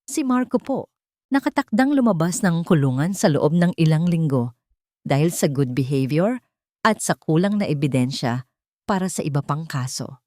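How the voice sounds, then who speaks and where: A woman's voice: sits low, lively intonation, moderate pace, mellow, no room sound, clean studio sound. A woman voicing a serious, reassuring male lawyer in a story, formal and polite.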